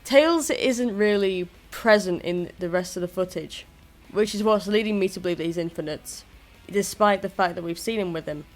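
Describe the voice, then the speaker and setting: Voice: medium pitch, moderate pace. Youtuber doing casual fan theory commentary, kinda self-deprecating, music playing under the voice. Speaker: YouTuber presenting own video game fan theories. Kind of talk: casual scripted-style commentary to viewers.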